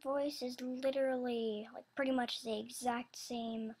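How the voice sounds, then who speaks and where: A woman's voice: on the high side, fairly even intonation, measured pace, darkish tone. A young woman with a youthful, somewhat childish voice, chatting casually without a script and rambling as she hesitantly searches for words. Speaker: video creator announcing animation voice auditions. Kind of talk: casual unscripted chat.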